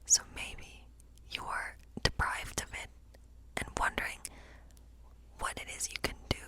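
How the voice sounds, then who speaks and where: A woman playing a kindly witch in an ASMR fantasy role play, whispering gently and musingly as she reads tarot, often repeating her phrases. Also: unhurried, close to an everyday voice. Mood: soothing, reflective. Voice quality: breathy, unvoiced whisper. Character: kindly woodland witch reading tarot for a guest. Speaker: ASMR performer.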